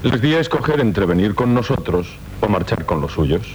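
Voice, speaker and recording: Low-voiced, steadfast young knight. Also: male voice, sing-song, a little mellow. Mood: firm, calm. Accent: Castilian Spanish. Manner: measured, firm, idealistic. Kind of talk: 1970s radio drama acting. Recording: noisy 1970s radio recording with rumble.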